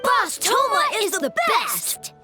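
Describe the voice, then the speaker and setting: Slow, squeaky little tanuki creature. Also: female voice, big pitch swings, heavily acted. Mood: eager, devoted. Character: small furry tanuki creature, simple-minded, devoted follower. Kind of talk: animated video game dialogue. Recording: tinkly music behind.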